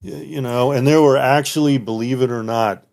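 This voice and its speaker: A man's voice: mid-range voice, normal speed, creaky, darkish tone. A man in his fifties, a native German speaker, reminiscing as a retired military guest in a rambling way with many restarts. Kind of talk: casual interview reminiscing.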